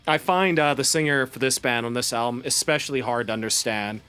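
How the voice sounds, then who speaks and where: Male reaction host, eager explainer, mid-pitched, faint music behind, casual chat. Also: normal speed. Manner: enthusiastic, explains music, laughs often. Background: faint music playing.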